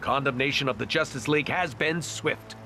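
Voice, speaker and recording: A man's voice: medium pitch, moderate pace, sharp tone, faint music behind. A man playing a slick, overdramatic announcer in a video game, with emphatic broadcast-style delivery. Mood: dramatic. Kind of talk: animated video game cutscene acting.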